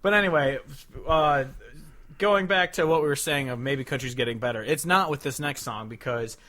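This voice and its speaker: A man's voice: on the high side, normal speed, pushed and bright. A man hosting a podcast in casual, opinionated chat, swearing freely. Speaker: podcast host. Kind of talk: casual chat about country music.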